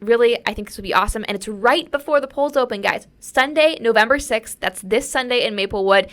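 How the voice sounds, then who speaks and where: A young woman co-hosting a podcast, upbeat and energetic, slightly hoarse from a cold. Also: a little high, fairly quick. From United States. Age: young adult. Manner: upbeat, energetic, talks to listeners directly. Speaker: podcast co-host. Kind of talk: casual podcast chat.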